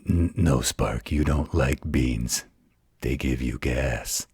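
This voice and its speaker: A man voicing a gentle, patient werewolf in a slow, soothing bedtime roleplay, with a husky growl. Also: no echo, exaggerated voice. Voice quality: very deep gravelly husky growl. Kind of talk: intimate bedtime roleplay audio.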